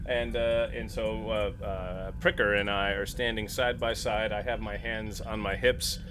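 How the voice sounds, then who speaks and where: A man's voice: fairly low pitch, little pitch movement, unhurried, noisy background. A man playing in a tabletop game, talking casually and improvising his character's actions. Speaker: player in a tabletop game show.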